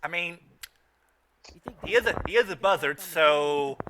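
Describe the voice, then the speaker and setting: A man doing excitable riffing commentary as a host, exclaiming and stammering as he repeats phrases. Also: a little high, unhurried, slight background noise. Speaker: podcast host riffing on a cartoon.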